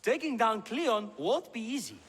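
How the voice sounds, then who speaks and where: An actor playing a proud, theatrical playwright in a video game, with a self-important delivery. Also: male voice, very high voice, big pitch swings, normal speed, a bit bright, breathy edge, heavily acted. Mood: earnest. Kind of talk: video game cutscene acting. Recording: long hall reverb.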